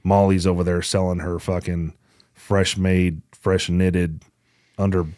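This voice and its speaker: A man's voice: sits low, little pitch movement, measured pace, darkish tone, no room sound, clean studio sound. A man hosting a podcast with casual chat and announcements, blunt and joking, with plenty of swearing. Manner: blunt, profane, joking banter. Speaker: podcast host.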